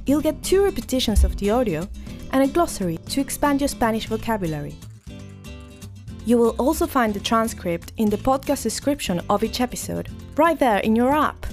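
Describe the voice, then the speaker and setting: A woman hosting a language-learning podcast, reading a story and vocabulary with clear, careful enunciation. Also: on the high side, a little mellow. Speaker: podcast host and story narrator. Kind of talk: scripted narration for language learners.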